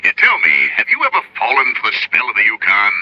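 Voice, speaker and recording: A genial insurance executive on the telephone in an old radio show. His very bright, cutting voice is animated and teasing, dry and filtered with limited fidelity. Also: lowish voice, no room sound, highs cut off, full character voice. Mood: genial, sly. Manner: chummy, teasing, unhurried. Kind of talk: classic radio drama acting. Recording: thin old radio phone sound.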